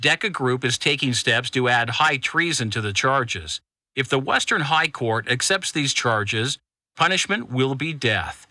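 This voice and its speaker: An actor playing a zealous conspiracy journalist who delivers a scripted, urgent news bulletin in a fiction podcast. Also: male voice, medium pitch, animated, measured pace, sharp tone, no room sound. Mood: urgent, grave. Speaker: journalist, channel host.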